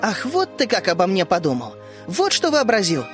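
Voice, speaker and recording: Мужчина-чтец озвучивает обидчивую вспыльчивую девочку, говоря с ней резко и с негодованием. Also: male voice, very high voice, expressive, moderate pace, over music, pitched above the performer's own voice. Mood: indignant. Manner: indignant, snappy. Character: touchy quick-tempered young girl.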